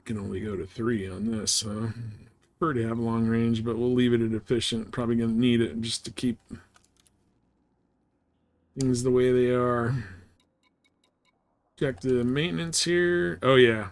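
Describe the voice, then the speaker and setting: A man's voice: medium pitch, fairly even intonation, a little slow, pauses often. A man giving casual live commentary on a game, thinking aloud and muttering asides to his viewers. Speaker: live streamer. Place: live game stream, indoors.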